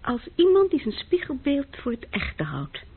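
An actress playing an earnest, thoughtful young female university student in a radio drama, hesitant and gently frank. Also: high voice, wildly swooping pitch, unhurried, heavily acted. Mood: probing. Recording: muffled old radio recording.

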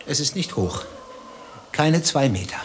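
An actor playing a jealous, menacing husband in a radio play, commanding yet theatrically polite. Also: male voice, medium pitch, lively intonation, measured pace, natural voice. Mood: cold menace. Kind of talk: German radio play acting. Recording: clear background noise and ambience.

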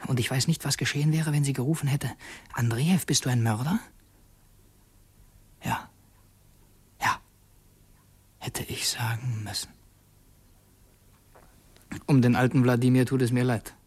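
An actor playing a secretive, brooding would-be assassin in a radio drama, intense and introspective, as if confessing to himself. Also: male voice, mid-range voice, fairly even intonation, a little mellow, breathy edge, no echo. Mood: hushed, reflective. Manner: intense, introspective, halting self-questioning. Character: brooding young male conspirator and would-be assassin, torn and secretive.

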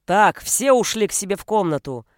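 Woman voicing a gruff, domineering, irritable father. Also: mid-range voice, expressive, moderate pace, no room sound, studio quality, natural voice. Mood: enraged. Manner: gruff, barking commands. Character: irritable, domineering father snapping and roaring at his family. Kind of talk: audiobook character voice.

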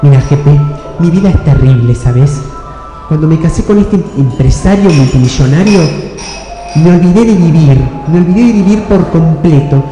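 Radio-play rich wife , done by a man: bored, chatty, Argentine, high voice, echoey hall with music. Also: expressive, normal speed, mellow, lightly acted. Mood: complaining. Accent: Rioplatense Argentine.

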